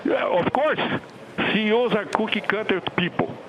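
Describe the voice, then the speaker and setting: A man's voice: high voice, normal speed, highs cut off, background noise. A man, a chief executive, arguing emphatically in a television interview. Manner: combative, emphatic. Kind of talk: argumentative TV interview.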